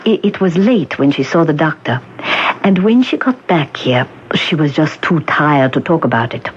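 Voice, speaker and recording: A woman's voice: deep voice, animated, normal speed. An actress in an old radio drama playing a kindly, bustling middle-aged woman shopkeeper who talks in a homely, emphatic way. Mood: chatty, earnest. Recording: muffled, hissy 1970s radio broadcast recording.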